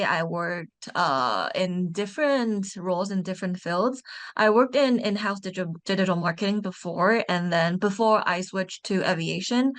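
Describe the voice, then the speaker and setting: A young woman from Taiwan, an MBA student, introducing herself on a panel in a chatty, upbeat way with small restarts. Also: mid-range voice.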